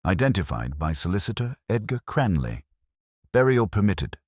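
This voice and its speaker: A man voicing a keen, coolly commanding Victorian detective in an audiobook, speaking precisely and deliberately. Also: deep voice, big pitch swings, no echo, studio quality, pitched above the performer's own voice. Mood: analytical. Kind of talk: audiobook character dialogue.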